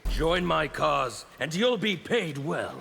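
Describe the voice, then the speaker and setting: Gravelly, scarred revolutionary zealot for a fighting game, bright forceful edge, slow defiant delivery. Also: male voice, a little high, big pitch swings, heavily acted. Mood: menacing. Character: bitter fanatical male revolutionary of chaos, scarred and menacing. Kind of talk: video game fight banter.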